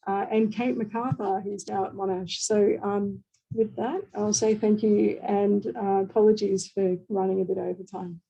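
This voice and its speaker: A woman giving an online lecture over a video call, her voice croaky and hoarse, speaking casually with many fillers. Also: medium pitch, steady tone, normal speed, warm tone, dull audio. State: hoarse late at night. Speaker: invited speaker.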